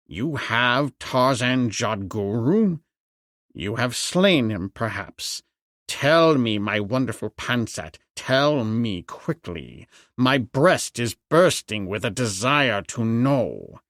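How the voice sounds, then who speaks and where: A man voicing a villainous, imperious high priest in an audiobook, slow and savoring his words. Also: sing-song, a bit bright, no room sound, clean studio sound, full character voice. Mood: eager. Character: gloating, bony old villainous high priest.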